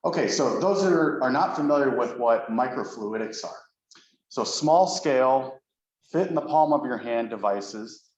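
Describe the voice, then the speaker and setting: A male professor giving a relaxed, lightly joking seminar talk to colleagues in a lecture room. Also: mid-range voice, a little slow. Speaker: guest speaker, professor. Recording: echoey room, dull lo-fi sound, faint noise.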